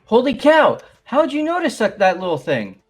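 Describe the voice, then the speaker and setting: A performer putting on the voice of a loud, laid-back, dim-witted young man in a fan dub of a video game. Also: medium pitch, huge pitch swings, moderate pace, breathy edge, soft background music, voice pushed up. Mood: surprised.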